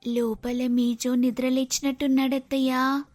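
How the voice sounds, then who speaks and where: A woman's voice: sits high, level tone, measured pace, a little mellow, soft background music. A woman playing a bold, scheming young daughter-in-law in a cartoon moral story, insistent and dramatic.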